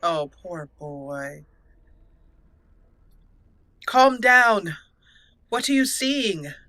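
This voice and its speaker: A woman's voice: fairly low pitch, big pitch swings, extremely slow, bright, forceful tone, slight background noise. A woman hosting a let's play, chatting playfully through her gameplay, laughing often and reading game text aloud. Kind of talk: gameplay commentary.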